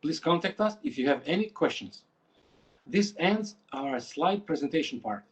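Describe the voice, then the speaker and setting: Calm male presenter, fairly high voice. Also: moderate pace. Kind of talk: closing a slide presentation.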